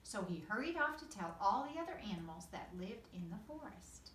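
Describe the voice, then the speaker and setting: A woman's voice: medium pitch, sing-song, fairly quick, a bit bright. A woman teacher reading a children's storybook aloud with expression. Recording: echoey room, distant mic, faint noise.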